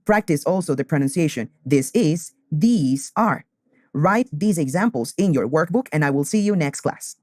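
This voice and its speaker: Slow, deliberate teacher. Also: male voice, on the high side, expressive, darkish tone, no room sound, studio quality. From Colombia. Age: 20s. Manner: slow, deliberate, repeats key phrases. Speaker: English teacher. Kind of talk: beginner English lesson.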